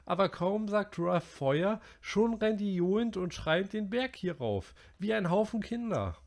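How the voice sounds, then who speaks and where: A man voicing a chubby, anxious schoolboy who pleads in a high, whiny, breathless way. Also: expressive, normal speed, creaky. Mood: indignant.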